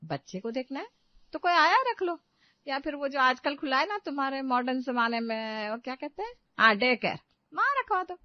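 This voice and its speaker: A woman's voice: sits high, big pitch swings, moderate pace, dry recording, pitched above the performer's own voice. A woman acts out an older mother-in-law in a domestic audio tale. She is defiant and self-possessed, with a curt, sarcastic edge. The sound is very dry and a little muffled. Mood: breezy, sarcastic.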